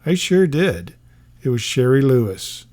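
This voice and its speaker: A man's voice: mid-range voice, very animated, unhurried, a little mellow. A man giving a scripted narration, reading a memoir-style comic aloud.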